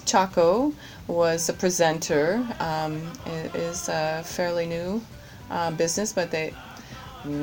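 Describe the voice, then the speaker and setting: A woman with a fairly low voice answers interview questions at an unhurried pace. She stops often, restarts her sentences and fills the gaps with ums. Soft music plays faintly behind her. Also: rough recording. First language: English. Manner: hesitant, many fillers and restarts. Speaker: course participant, general manager.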